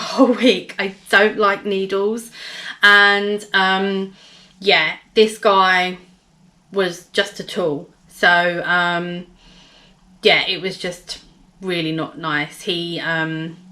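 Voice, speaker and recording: A woman with a hoarse, gravelly voice telling a personal story in a casual vlog, rambling with many fillers and restarts. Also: mid-range voice, sharp tone. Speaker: vlogger.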